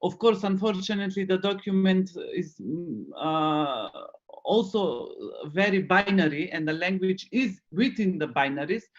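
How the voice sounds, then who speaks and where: A man speaking as an activist on an online panel, measured and thoughtful with many fillers, over a lo-fi call line. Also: high-pitched. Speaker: queer rights activist on a panel.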